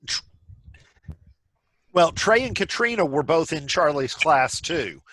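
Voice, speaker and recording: A man hosting a casual group discussion on a video call, speaking haltingly and often restarting his phrases. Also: a little high, expressive, fairly bright tone, rough recording. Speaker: host of a virtual play reading. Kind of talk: casual discussion, wrapping up a meeting. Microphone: video call microphone.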